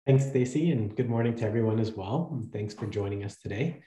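A man with a low, mellow voice makes friendly announcements on a video call. He hesitates now and then with fillers. His voice echoes in the room. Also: fairly quick. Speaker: event co-chair and moderator. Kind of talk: housekeeping announcements.

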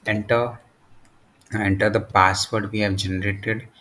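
A man with a fairly low voice presents a step-by-step software tutorial. He speaks very slowly, in short, halting phrases. There is faint noise behind his voice. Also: little pitch movement.

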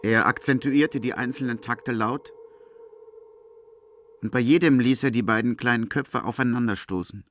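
A man's voice: mid-range voice, moderate pace. A man narrating a literary audio drama from a script.

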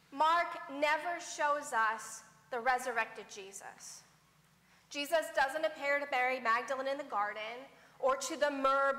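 A middle-aged woman pastor preaching a sermon from a church pulpit in a measured way, her voice dropping to a hush at the ends of phrases. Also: high-pitched. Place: large church sanctuary before a pipe organ. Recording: long church reverb.

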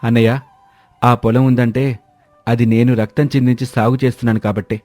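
A man playing a hardworking, dutiful younger brother who farms, speaking earnestly and reassuringly in an animated moral story. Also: medium pitch, a little fast, no echo, faint music behind, close to an everyday voice.

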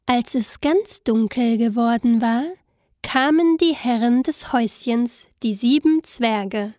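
A woman's voice: on the high side, slow pace, a little mellow, no echo, clean studio sound. A woman narrating a scripted fairy tale expressively, with careful storytelling phrasing.

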